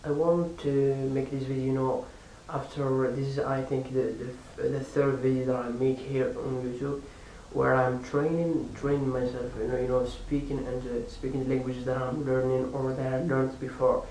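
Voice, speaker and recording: A man with a non-native accent talks casually and unscripted as a language-learning vlogger, haltingly repeating words as he searches for phrasing. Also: medium pitch, little pitch movement, a little mellow. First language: not French or English. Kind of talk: casual unscripted vlog talk. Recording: echoey room, distant mic, faint hiss.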